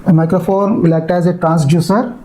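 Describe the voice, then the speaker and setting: High-pitched male lecturer, halting and mellow. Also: fairly even intonation, a little hiss or hum. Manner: halting, repetitive delivery. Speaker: teacher. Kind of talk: explanatory technical lecture.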